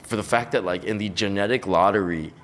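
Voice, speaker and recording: A young man from Los Angeles rambles through a casual podcast chat, full of slang, swearing and fillers. His voice sits a little low. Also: brisk, a bit bright. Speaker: podcast guest. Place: hot room on a high floor.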